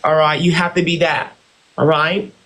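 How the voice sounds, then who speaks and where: A man's voice: a little high, level tone, moderate pace, sharp tone, rough recording. A man playing a smug boss and CEO. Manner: condescending, calls others "dear" and "honey". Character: haughty self-styled boss and CEO, male. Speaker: livestream host in character. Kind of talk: improvised live-stream roleplay.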